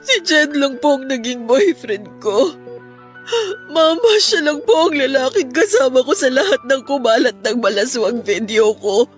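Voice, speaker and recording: A woman's voice: sits high, lively intonation, measured pace. An actress with a Filipino accent playing a distraught, remorseful young woman in a radio drama, pleading over music. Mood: tearful pleading. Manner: pleading, tearful delivery.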